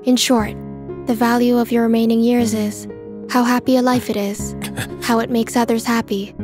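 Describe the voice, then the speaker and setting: A woman's voice: on the high side, lightly acted. A woman playing a polite, detached clerk in a manga dub, speaking in a formal, businesslike way. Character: polite, detached female clerk at an appraisal office. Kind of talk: scripted manga dub acting. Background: background music.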